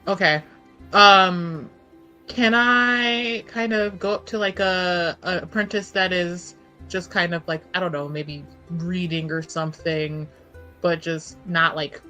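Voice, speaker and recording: A woman's voice: lowish voice, fairly even intonation, slow, sharp tone, faint music behind. A woman playing a tabletop roleplaying game, chatting informally and thinking aloud in broken phrases. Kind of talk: casual chat during actual play.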